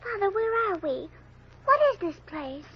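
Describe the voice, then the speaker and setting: A female actor playing a timid, trusting little girl with hushed questions in an old radio drama. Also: very high voice, animated, unhurried. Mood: timid, anxious. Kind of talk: 1930s radio drama acting. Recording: muffled noisy old radio broadcast.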